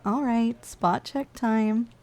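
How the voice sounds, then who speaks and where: A woman's voice: on the high side, slow, a little mellow. Teasing, bossy giantess played by a woman, ASMR roleplay. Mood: playful. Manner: teasing, coaxing, casually condescending. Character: playful lazy giantess office worker, teasing and bossy. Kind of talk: intimate ASMR roleplay, addressing the listener directly.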